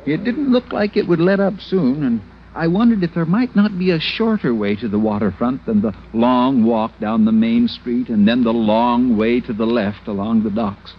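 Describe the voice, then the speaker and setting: A man's voice: medium pitch, moderate pace, few pauses, a little hiss or hum, natural voice. A middle-aged actor playing a timid, fussy American tourist in a 1940s radio drama, hesitant and flustered as he recounts his misadventure. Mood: anxious recounting. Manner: hesitant, stammering, prim and flustered. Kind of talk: 1940s radio drama acting, first-person narration. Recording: muffled vintage radio recording with music.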